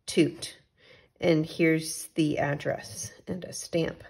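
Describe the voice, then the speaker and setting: A woman's voice: sits low, little pitch movement, extremely slow, creaky, a little mellow, husky. A woman teacher reading a picture book aloud to young children, adding simple asides about the pictures.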